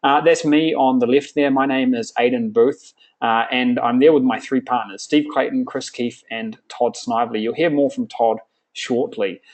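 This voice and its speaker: A man's voice: medium pitch, steady tone, normal speed, darkish tone, poor audio. A man in his thirties with a New Zealand accent hosting a live sales webinar, upbeat and salesy with frequent fillers. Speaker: webinar host.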